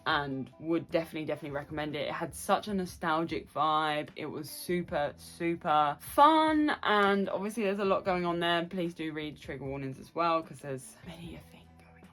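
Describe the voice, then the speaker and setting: Low-pitched woman chatting enthusiastically about books. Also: moderate pace, few pauses, fairly bright tone, soft background music. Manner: gushing, emphatic, repeats phrases for emphasis. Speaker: book review vlogger. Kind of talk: casual chatty book review to camera.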